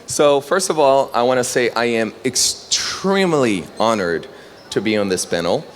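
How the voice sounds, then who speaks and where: Man, thirties, panel moderator, eager and earnest, mid-range voice, soft hum behind. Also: mid-range voice, expressive, moderate pace. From Brazil. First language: Brazilian Portuguese. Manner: earnest, enthusiastic, addresses the audience directly. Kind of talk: public panel talk. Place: panel on stage before an audience.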